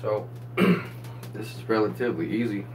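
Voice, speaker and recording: A man cooks in his home kitchen and chats easily with viewers on a live stream, his low voice gone hoarse from a coming cold. The phone picks him up from across the counter. A steady hum sits under everything. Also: normal speed, far from the mic. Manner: chatty, greets viewers by name while cooking. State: voice going hoarse, getting sick. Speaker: home cook livestreaming. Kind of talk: casual cooking livestream. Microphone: phone camera.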